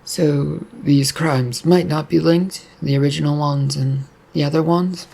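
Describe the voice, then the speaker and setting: Female paranormal investigator, read by a man, slightly raised voice, husky, inquisitive. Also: on the high side, steady tone, unhurried, darkish tone, heavily acted. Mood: curious. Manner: probing questions.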